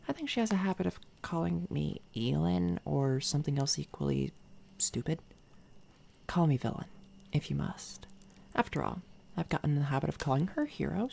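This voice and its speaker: A woman voicing a smug, menacing villainess doppelganger in a soft-spoken, taunting ASMR roleplay, often slipping into a whisper. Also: sits low, vocal fry, full character voice. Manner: taunting, condescending, unhurried. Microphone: close binaural microphone.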